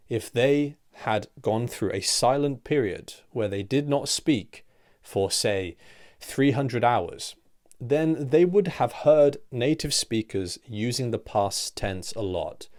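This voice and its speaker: Man, slightly low voice, English teacher, measured explanatory read, phrases fade softer at the end. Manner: clear, deliberate teaching delivery with rhetorical questions. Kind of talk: scripted explanatory lesson.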